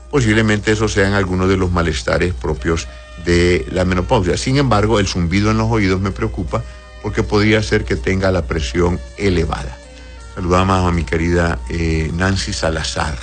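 A man's voice: low-pitched, moderate pace, soft background music. A man speaking as a doctor hosting an advice show, conversational and avuncular, answering listeners with endearments and frequent checking tags. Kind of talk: conversational medical advice, reading messages aloud.

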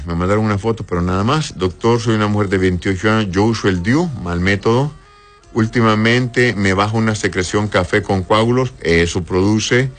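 A man with a very low voice hosts a medical advice show. He reads out listener messages and answers directly, explaining things plainly and often checking with a short tag question. Faint music plays behind him.

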